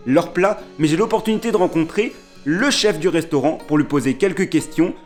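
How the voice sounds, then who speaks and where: A man hosting his channel, greeting viewers and introducing the video in a friendly, direct way. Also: fairly high pitch. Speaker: channel host. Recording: faint music behind.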